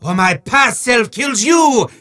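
Evil wizard for a game: high, piercing, forceful delivery, hammy and grandiose, maniacal villain with chatty asides. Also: male voice, expressive, heavily acted. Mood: menacing, theatrical. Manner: grandiose, theatrical, booming declarations dropping into fast asides. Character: bombastic, maniacal, hard-up male evil wizard. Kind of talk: theatrical video game villain voice lines.

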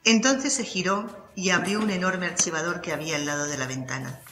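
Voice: medium pitch, brisk, pushed and bright, roomy sound, soft background music. A narrator reading a scripted crime story for an audiobook.

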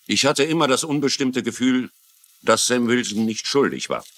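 An actor playing an older retired police lieutenant in a German-dubbed TV crime drama, confiding and wry, with a troubled conscience. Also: male voice, medium pitch, animated, normal speed, fairly bright tone, lightly acted. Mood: uneasy, confiding.

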